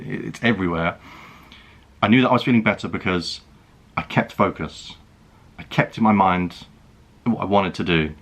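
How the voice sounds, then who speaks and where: A British man in his thirties, a food and travel vlogger, talking earnestly and reflectively to camera, with many halting restarts. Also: low voice, a bit bright. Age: 30s. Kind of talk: earnest personal talk to camera.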